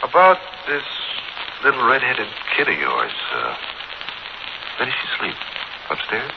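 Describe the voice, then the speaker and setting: A man's voice: mid-range voice, wildly swooping pitch, unhurried, creaky, exaggerated voice. An actor playing a menacing, wounded ex-convict gunman with a curt, sneering manner in a 1940s radio thriller. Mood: smug menace. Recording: muffled, noisy 1940s radio recording.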